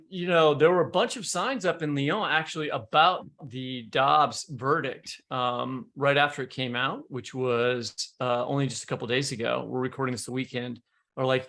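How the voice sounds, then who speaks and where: A man's voice: mid-range voice, gravelly, sharp tone. An American man hosting a podcast, talking casually about politics. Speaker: podcast host.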